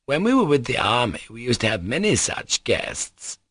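Man voicing a querulous half-mad mother, pitched up. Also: huge pitch swings, normal speed, a bit bright, slightly rough, dry recording, clean studio sound, exaggerated voice. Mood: rambling, aggrieved. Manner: plaintive, aggrieved, self-pitying.

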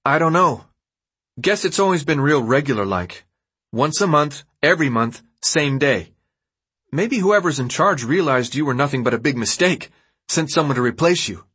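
A man's voice: on the high side, expressive, normal speed, fairly bright tone, breathy edge, pitched above the performer's own voice. A man voicing a chummy, teasing young boy in an audiobook, talking in a casual, rambling way.

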